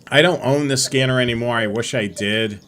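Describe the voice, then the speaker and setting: A middle-aged man hosting a live-streamed hobby show, chatting in a relaxed, rambling way with many fillers. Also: medium pitch, a little fast, slight background noise. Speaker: host.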